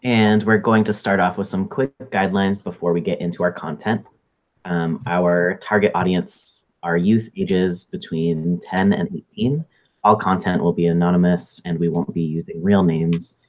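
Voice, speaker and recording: A thirty-year-old man co-hosts a casual live chat. His voice sits very low, and he speaks in a measured, steady way with a few ums. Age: 30s. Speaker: co-host and social work intern.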